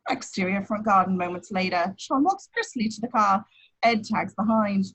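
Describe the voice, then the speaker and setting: A woman's voice: medium pitch, lively intonation, moderate pace, a bit bright, husky, poor audio. A woman reading out scripted action descriptions as narrator at an amateur table read.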